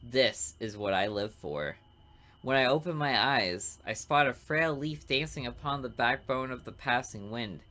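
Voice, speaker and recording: A man voicing a smug, artistic serial killer who narrates a visual novel with deliberate, theatrical, morbid relish. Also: mid-range voice, bright, forceful tone. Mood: savoring.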